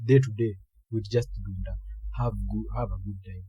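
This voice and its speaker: A man's voice: fairly low pitch, fairly even intonation, normal speed, mellow, husky, no echo, muffled recording, faint music behind. A man with a Kenyan accent, co-hosting a podcast in a laid-back way and mixing in Swahili slang. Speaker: podcast co-host. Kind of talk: casual podcast chat.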